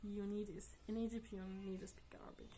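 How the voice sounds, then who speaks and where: A woman vlogger chatting casually to her viewers in earnest, non-native English, often laughing softly. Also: medium pitch, level tone, fast talker, slight background noise. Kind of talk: casual chat to camera.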